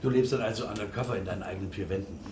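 An actor playing a sceptical, concerned bar owner, speaking tersely and probingly in naturalistic radio drama. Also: male voice, fairly low pitch, rapid-fire, lightly acted. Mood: concerned, firm. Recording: echoey, distant, noisy location sound.

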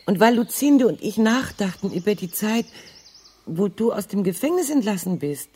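A fussy churchy lady in a radio play, mellow raspy voice, mild, explains stuff slowly round the houses. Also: mid-range voice, lively intonation. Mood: careful, explaining. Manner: hesitant, circumlocutory, explaining patiently. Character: devout, fussy, mild-mannered lady, quietly relentless. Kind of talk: radio crime drama acting.

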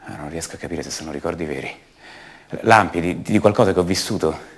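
An actor playing the brooding adult son of a disappeared woman, speaking haltingly and intimately in a radio theatre monologue. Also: sits low, vocal fry. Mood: troubled, anguished. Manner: halting, repeats words, intimate.

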